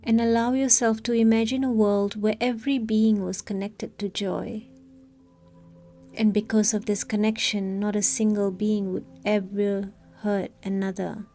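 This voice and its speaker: Woman, meditation guide, dark mellow tone, calm and soothing, unhurried, faint music behind. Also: medium pitch.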